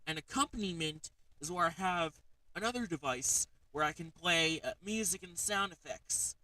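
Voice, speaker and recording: A man's voice: on the high side, animated, moderate pace, bright, forceful tone, far from the mic, rough recording. A man hosting a casual livestream, rambling with lots of fillers as he shows off a gadget. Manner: rambling, frequent fillers and "alright?" check-ins. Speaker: streamer.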